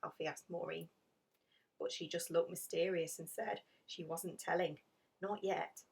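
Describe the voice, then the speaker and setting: A woman reading a children's picture story aloud in an expressive, dramatic storytelling manner. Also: on the low side, unhurried, creaky, breathy edge, far from the mic. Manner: animated, dramatic emphasis with hushed passages. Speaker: storybook reader.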